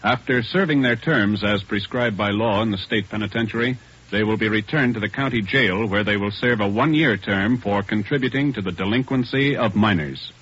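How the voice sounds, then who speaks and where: A man with an American accent reading formal, measured scripted narration as a 1940s radio announcer. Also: lowish voice, a bit bright, slightly rough. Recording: dull old radio recording, faint music.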